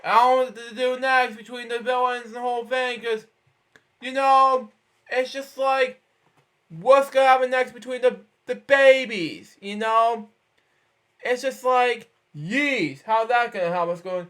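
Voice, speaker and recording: Man, high voice, slow pace, storybook read-aloud, halting, stumbles over words, rambling asides. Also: fairly even intonation, a bit bright. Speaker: storybook reader.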